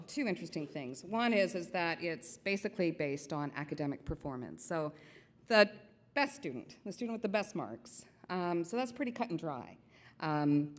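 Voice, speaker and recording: Woman coordinator at a podium; lower voice, teasing suspense, hall reverb. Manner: chatty, playful, building suspense. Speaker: program coordinator presenting an award. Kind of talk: award ceremony speech. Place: event hall.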